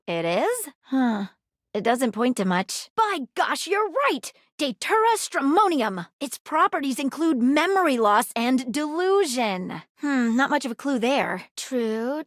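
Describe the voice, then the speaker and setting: High-pitched, lively female detective. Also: no room sound, studio quality, full character voice. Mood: intrigued. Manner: theatrical, articulate, formal phrasing. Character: eager, earnest female detective, inquisitive and courteous. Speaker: detective in a mystery game. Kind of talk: acted video game dialogue.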